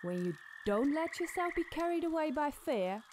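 A woman's voice: sits high, big pitch swings, normal speed. A woman podcast host delivering dramatic scripted storytelling. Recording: clear background night ambience noise.

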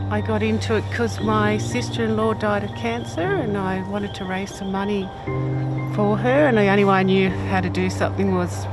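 A woman in her late forties, a triathlete, speaking with determination and some stumbling restarts in a documentary interview outdoors. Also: medium pitch. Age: 40s. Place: outdoors in a park. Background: music.

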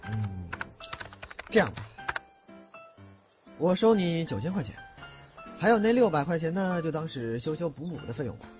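A man's voice: fairly high pitch, very animated, normal speed, long pauses, a little mellow. A man playing a tight-fisted, flustered landlord who hedges and whines in an audio drama. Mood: wheedling, complaining. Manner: flustered, hedging, wheedling. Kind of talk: Chinese audio drama acting. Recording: muffled recording with music behind.

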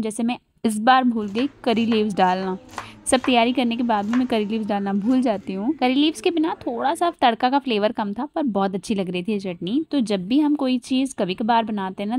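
A woman's voice: a little high, a little mellow, no room sound. A woman in her thirties chatting through a home cooking vlog, slipping English phrases into her talk. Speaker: cooking vlogger. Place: home kitchen, indoors.

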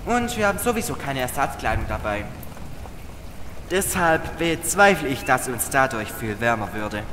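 A man's voice: mid-range voice, very animated, moderate pace, close to an everyday voice. A man playing a cocky, sarcastic boy adventurer in a children's audio drama. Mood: matter-of-fact. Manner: sarcastic, know-it-all. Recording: long reverb, clear background noise.

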